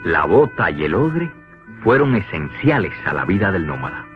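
A man with a low voice narrates an educational cartoon with lively, varied intonation. He explains in a didactic way over clear music, on a dull lo-fi soundtrack. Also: normal speed. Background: clear background music.

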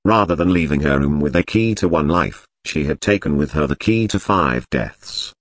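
A man voicing a candy robot in a video game, telling grim tales in a measured, deadpan way. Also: extremely low pitch, no room sound, exaggerated voice. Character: monotone candy-dispensing robot telling grim bedtime stories. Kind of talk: scripted video game character narration.

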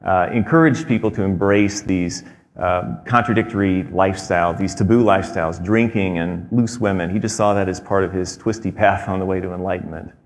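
A man in his thirties giving a prepared talk on a theater stage, measured and explanatory, with frequent fillers. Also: sits low, steady tone. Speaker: presenter. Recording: long hall reverb.

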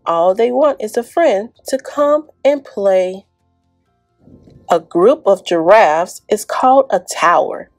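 Young lady doing kids storytime, encouraging and sing-songy, takes it slow, mid pitch. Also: darkish tone. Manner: encouraging, sing-song, talking to young children. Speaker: library storytime host. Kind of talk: preschool storytime, picture book read aloud.

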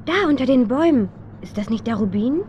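A woman playing a lively, curious teenage girl detective in a German children's radio play, exclaiming eagerly. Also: fairly high pitch, fairly quick, a little hiss or hum, close to an everyday voice. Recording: muffled 1970s radio play recording.

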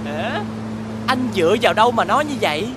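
Male wind spirit, cartoon dub, very high voice, swooping intonation, teasing, competitive, Southern Vietnamese accent. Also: sing-song, a little fast. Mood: indignant. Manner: teasing, boastful, exclamatory. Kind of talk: children's animated dubbing. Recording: music behind the voice.

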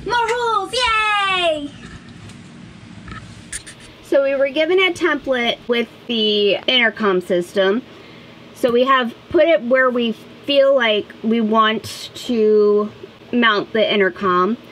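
A woman's voice: fairly high pitch, slow pace, a bit bright, slight background noise, poor audio. A woman in her thirties casually explaining a DIY project as a vlog host. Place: small wood-panelled boat cabin indoors.

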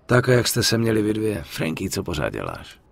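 An actor playing a guarded young soldier home from war in a dubbed game, laconic and down-to-earth. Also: male voice, on the low side, huge pitch swings, vocal fry, full character voice. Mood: subdued. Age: young adult. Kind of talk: dubbed video game cutscene acting.

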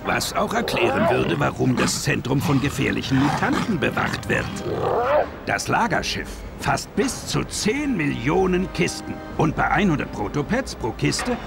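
A man's voice: on the high side, sing-song, a little slow, vocal fry, full character voice. A man voicing a bumbling, boastful alien villain in a game cutscene, blustering and stumbling over his words. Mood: suspicious, scheming. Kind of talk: animated game cutscene acting. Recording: music behind.

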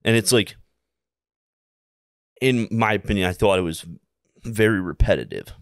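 Young American male, 20s, podcast guest. Very low, smooth voice, rambling anecdotal delivery. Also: dry recording, studio quality. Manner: halting, rambling, repeats himself while telling anecdotes. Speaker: podcast guest, air traffic controller. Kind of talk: casual interview storytelling. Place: small indoor room, seated. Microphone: close podcast mic on boom arm.

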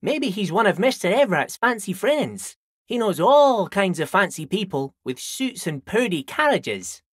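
A man's voice: sits high, big pitch swings, a little slow, a bit bright, breathy edge, dry recording, clean studio sound, full character voice. An actor playing a friendly, simple-minded old dockworker in a video game, folksy and rambling, repeating himself as he chats. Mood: friendly, chatty. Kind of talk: video game character dialogue.

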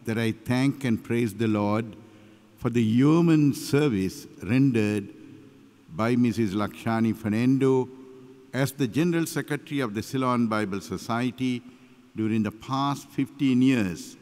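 A man in his sixties with a Sri Lankan accent, a bishop giving a deliberate, formal church address at a lectern. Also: medium pitch, darkish tone. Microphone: gooseneck lectern microphone. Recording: echoey hall, long reverb.

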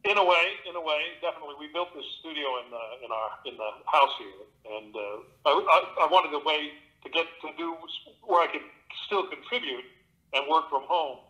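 A man's voice: on the high side, brisk, sharp tone, highs cut off. A middle-aged man, an actor and audiobook narrator, talking casually in an interview from his home studio with a theatrical, expansive manner.

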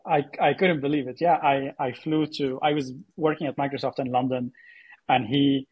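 A man from Syria speaking as a podcast guest in a conversational, halting way, repeating words as he thinks. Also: medium pitch, steady tone, slightly rough, dry recording, dull audio. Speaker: podcast guest, AI startup co-founder. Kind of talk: conversational interview storytelling.

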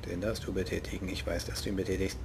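A man's voice: low-pitched, level tone, fast talker, gravelly, a little hiss or hum. A young man with a regional German dialect, commenting casually and jokingly while he plays a game. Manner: joking, playful, talks to himself. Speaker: let's play host.

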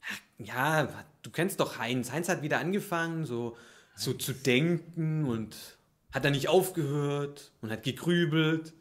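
A man playing an easygoing but worried bottling worker in an improvised radio play, talking colloquially and haltingly. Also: a little high, close to an everyday voice. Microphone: stand microphone.

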